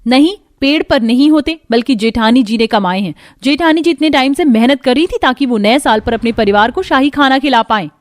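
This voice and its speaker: A woman's voice: high voice, a little fast, hardly any pauses, no room sound, studio quality, lightly acted. A woman voicing an eager, caring young sister-in-law in a cartoon story, speaking in an insistent, supportive way. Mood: defending. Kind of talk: Hindi cartoon story dialogue.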